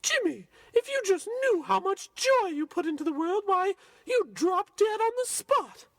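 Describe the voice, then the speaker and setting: Very shrill, gleeful old nun. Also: female voice, very animated, unhurried, no echo, exaggerated voice. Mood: gushing praise. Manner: chirpy, effusive, folksy. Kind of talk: parody old-time radio play acting.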